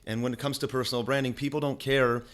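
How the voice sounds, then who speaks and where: A man with a deep voice giving a casual screen-recorded tutorial. He talks in an energetic, chatty way, restarts his sentences and keeps asking "okay?". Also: quick pace. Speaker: online marketing instructor. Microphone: desk microphone.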